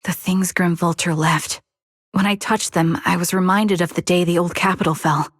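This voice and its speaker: A woman voicing a haunted young soldier in a video game, somber, measured and confessional as she grieves. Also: on the low side, steady tone, dry recording, studio quality, close to an everyday voice. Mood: troubled, grieving. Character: haunted young female soldier, grieving her lost squad.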